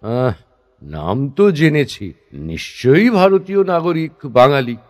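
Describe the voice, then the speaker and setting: A man's voice: mid-range voice, big pitch swings, takes it slowly, a little mellow. A man acting a proud, teasing, somewhat prickly male stage performer in a theatrical audio drama, full of wry questions. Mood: brisk, probing. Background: instrumental background music.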